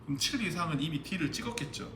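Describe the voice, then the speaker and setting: A man's voice: a little high, animated, quick pace, a bit bright. A man teaching a recorded exam-prep lesson on spreadsheet formulas, explaining step by step and often asking the class whether they understood. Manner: explains step by step, keeps checking understanding with questions. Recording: echoey room with background noise.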